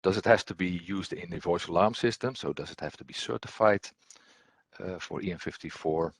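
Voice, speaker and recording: A man with a low, husky voice walks through a technical training presentation. He talks like an instructor going through slides, halting often with ums and repeated words. The sound is close and dry. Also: dry recording.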